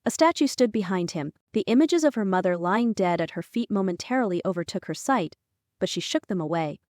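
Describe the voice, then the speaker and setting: A woman's voice: medium pitch, expressive, brisk, a little mellow, no echo, clean studio sound. A woman narrating a fantasy audiobook from a script, acting out some dialogue within it.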